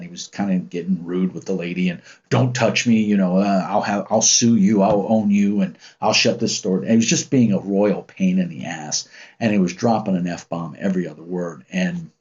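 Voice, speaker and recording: Male streamer, 50s, from the States, deep commanding husky voice, loose casual storytelling, dull lo-fi sound. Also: normal speed. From United States. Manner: rambling, relaxed, with many ums and restarts. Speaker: channel host reading live chat. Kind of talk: casual livestream chat and storytelling. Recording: muffled lo-fi stream audio.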